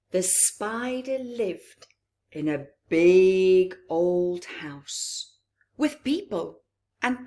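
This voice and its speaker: Woman, children's storytime reader, very slow, expressive, medium pitch, long pauses. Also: gravelly. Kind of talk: picture book read-aloud with asides.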